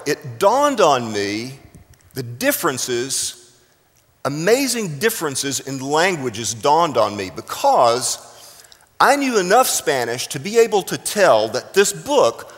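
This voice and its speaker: A middle-aged American man from South Texas preaching a sermon deliberately, with dramatic emphasis and pauses. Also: medium pitch, a bit bright. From South Texas, United States. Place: large reverberant room, speaking to a congregation. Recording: echoing hall with long reverb.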